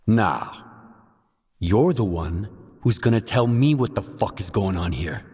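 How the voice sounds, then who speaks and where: An actor playing a gruff, suspicious soldier in a video game, blunt and confrontational. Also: male voice, fairly low pitch, lively intonation, measured pace, darkish tone, exaggerated voice. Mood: angry. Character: gruff, defiant, suspicious male stalker soldier. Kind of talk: dramatic video game dialogue. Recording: long reverberant hall echo.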